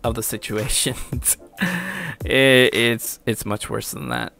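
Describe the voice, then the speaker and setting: A man's voice: lowish voice, very animated, slow pace, creaky, heavy background noise. A young adult man giving casual, opinionated anime commentary in a slangy way with some swearing.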